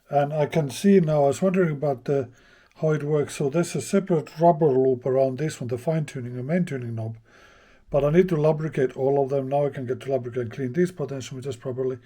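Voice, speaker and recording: A man casually narrating a hands-on repair as he goes, thinking aloud in a halting way. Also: mid-range voice, warm tone. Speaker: host of a vintage radio repair channel.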